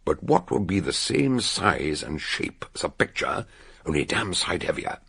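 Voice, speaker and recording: A man's voice: sits low, very animated, creaky, pushed and bright, husky, exaggerated voice. An older British actor playing an erudite amateur detective in a radio drama, laying out his explanation with theatrical, emphatic relish. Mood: triumphant, explanatory. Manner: dramatic, emphatic, deliberate storytelling. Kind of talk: radio drama acting, expository storytelling.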